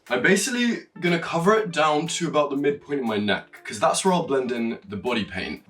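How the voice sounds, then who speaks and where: Young male vlogger from Norway, quick and animated, casual and cheeky, mic a little far off. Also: mid-range voice. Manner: chatty and animated, swearing and laughing while explaining. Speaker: makeup vlogger. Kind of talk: casual tutorial chat.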